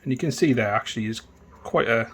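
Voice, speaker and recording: A man with a thick Welsh accent talking through a painting tutorial in a relaxed, chatty way, with many fillers and restarts. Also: mid-range voice, fast, slight background noise. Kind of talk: casual step-by-step tutorial narration.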